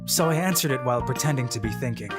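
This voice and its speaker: A dry, secretly brilliant schoolboy, voiced by a man, talking through his thoughts in a flat, wry monologue. Music plays clearly underneath. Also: medium pitch, fast talker, natural voice. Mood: deadpan. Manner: deadpan inner monologue. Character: dry, secretly genius teenage boy student.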